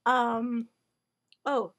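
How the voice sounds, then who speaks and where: A woman's voice: fairly high pitch, flat delivery, drawn-out words, fairly bright tone. A woman whose first language is Hungarian, giving a wry, rambling monologue full of fillers, restarts and mock-formal wording. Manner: hesitant, many fillers and restarts.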